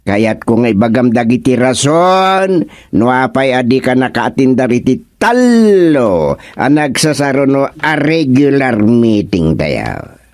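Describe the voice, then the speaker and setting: Male actor as sarcastic Filipino village official, radio comedy; measured pace, dry close recording. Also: medium pitch, big pitch swings, darkish tone, no echo. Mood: stern, sarcastic. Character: stern, sarcastic village official scolding his subordinate. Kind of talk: comedic radio drama acting.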